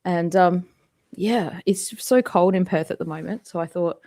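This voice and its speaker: A woman's voice: lowish voice, a little slow, dark tone. A woman from Australia with a Western Australian accent, a musician chatting casually with fans on a live stream from home at her computer microphone, rambling with lots of ums and frequent laughs. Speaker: musician hosting a live fan Q&A. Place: home, indoors. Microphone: desktop microphone at a computer.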